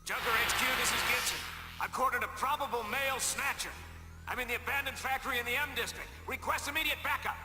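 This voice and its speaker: An urgent field agent from a video game, reporting over a video call. His very high voice races along in a long echo, with loud music underneath. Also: quick pace. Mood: urgent, tense. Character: urgent veteran male agent calling for backup.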